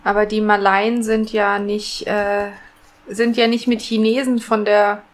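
A woman's voice: medium pitch, flat delivery, measured pace, a little hiss or hum. A woman in casual podcast chat, explaining things with some hesitation as a co-host.

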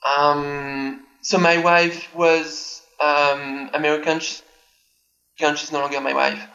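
Bright-toned man on a dull line. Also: fairly high pitch, flat delivery, slow. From France. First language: French. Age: 30s. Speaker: tax accountant guest. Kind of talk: interview answers.

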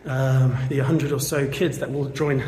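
A man's voice: medium pitch, fairly even intonation, a little slow, a little mellow, slight background noise. A man giving an earnest, casual walkthrough of a school building he is fundraising for, with lots of fillers, recorded on a handheld camera indoors. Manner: chatty, earnest, many fillers. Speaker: volunteer fundraiser showing a school. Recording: echoey hall, long reverb.

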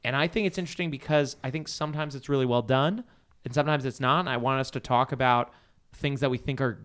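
A man's voice: mid-range voice, fairly even intonation, fast, fairly bright tone, clear, resonant tone. A man hosting a casual panel chat about video games. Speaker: podcast host.

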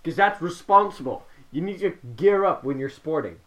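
A young man co-hosting a casual podcast from his own bedroom, loud and goofy, insisting on jokes. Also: fairly high pitch, lively intonation, normal speed. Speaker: podcast co-host. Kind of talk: casual banter among friends.

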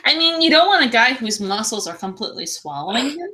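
A woman with a bright, forward voice, pitched a little high. She co-hosts a casual film chat and talks in an effusive, slangy, gushing style. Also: very animated, quick pace. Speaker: podcast co-host. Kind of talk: casual chat about films.

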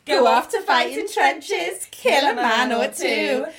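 A woman's voice: sits high, animated, takes it slowly, over a noisy crowd, heavily acted. A woman playing a taunting young village girl in a radio play, goading in a sing-song chant. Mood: mocking, goading.

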